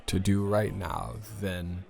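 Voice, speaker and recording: A man playing a smitten young man in an improvised romantic audio roleplay, speaking to his casual lover in a hesitant, earnest, halting way. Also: sits low, animated, gravelly, a little mellow, full character voice. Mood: hopeful, gentle.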